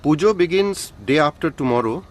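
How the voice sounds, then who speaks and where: An actor playing an old, humble, weary idol-maker in a radio drama, earnest and deferential, with an Indian accent. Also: male voice, on the high side, animated, fairly quick, slight background noise, close to an everyday voice. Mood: wistful, weary. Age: older.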